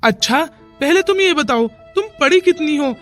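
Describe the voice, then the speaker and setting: A performer as a high-voiced earnest young cartoon man. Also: expressive, normal speed. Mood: insistent, reassuring. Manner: insistent, reassuring. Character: earnest, persuasive young village man, Rohan. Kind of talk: Hindi cartoon moral-story dialogue. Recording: music behind the dialogue.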